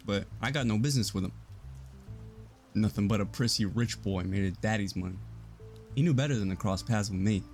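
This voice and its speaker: An actor playing a surly, hot-headed blue-collar plumber in an audio drama, defensive and swearing, with music behind him. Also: male voice, on the low side, close to an everyday voice.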